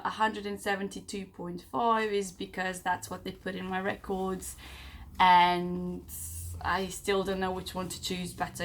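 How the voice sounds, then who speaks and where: A woman in her late twenties from Serbia, chatting casually to the camera in a personal vlog, rambling with fillers and self-corrections. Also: mid-range voice. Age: 20s. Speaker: vlogger.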